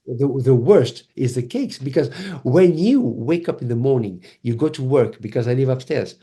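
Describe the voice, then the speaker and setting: A man with a French accent speaks in a mellow, relaxed tone at an average pitch. He is a podcast guest in casual conversation, and he tells his stories in an animated way. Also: moderate pace. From Cameroon.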